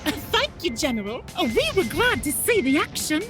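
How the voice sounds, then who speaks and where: Woman acting very high-voiced, eager lieutenant. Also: very animated, a little fast, music in the background. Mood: deferential, eager. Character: eager, deferential female mech corps lieutenant. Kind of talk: sci-fi audio drama acting.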